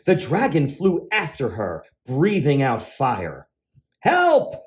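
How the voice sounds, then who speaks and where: A man reading a rhyming picture book aloud to children in a theatrical, emphatic, expressive way. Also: medium pitch, slow. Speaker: children's storyteller, teacher and entertainer.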